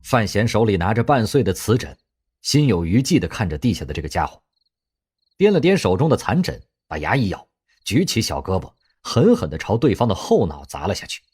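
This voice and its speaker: Breathy, lively male narrator. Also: fairly low pitch, fairly quick. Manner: expressive storytelling. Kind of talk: scripted audio drama narration. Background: faint music.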